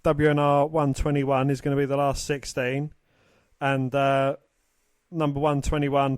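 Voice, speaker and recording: A man co-hosting a casual sports podcast, talking in a halting way and stumbling over his words. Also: medium pitch, no echo. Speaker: podcast co-host.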